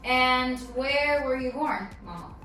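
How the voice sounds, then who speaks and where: Young female interviewer, bright and upbeat, distant in a roomy space. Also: high voice, slow pace. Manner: upbeat, laughs often. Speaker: interviewer, co-host. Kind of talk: casual interview. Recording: echoey room, distant mic, faint music.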